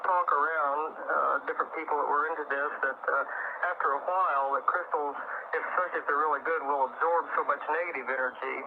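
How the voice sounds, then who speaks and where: A man's voice: a little high, steady tone, quick pace. Tinny, noisy male radio caller. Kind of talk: casual questioning. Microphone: telephone. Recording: tinny, noisy phone call on 1980s radio.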